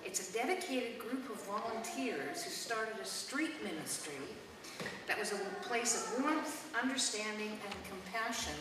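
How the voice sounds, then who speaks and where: A woman's voice: mid-range voice, lively intonation, measured pace. A woman introducing a guest speaker at church, hesitant and full of ums and restarts. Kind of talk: informal introduction at a church service. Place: church hall. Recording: echoey church hall, mic at a distance, noisy.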